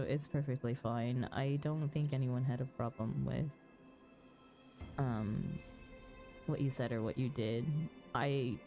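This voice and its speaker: A woman's voice: low-pitched, darkish tone. A woman hosting a game livestream, chatting casually with viewers in an easygoing way. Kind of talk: casual chat with stream viewers while gaming. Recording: music behind the voice. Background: music.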